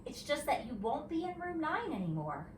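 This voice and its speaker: A woman voicing a patient, gently firm female kindergarten teacher in a storybook, explaining carefully to young children. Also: high-pitched, very animated, moderate pace, pitched above the performer's own voice. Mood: patient, apologetic. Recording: roomy, distant mic, faint noise.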